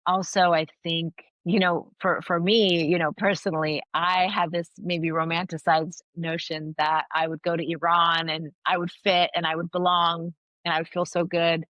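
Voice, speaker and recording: Woman, middle-aged, low voice, earnest, thoughtful, restarts often, first language Farsi, dry close sound. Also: little pitch movement, normal speed, hardly any pauses, fairly bright tone, no echo, dull audio. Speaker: guest, anthropologist and author. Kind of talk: reflective interview answers.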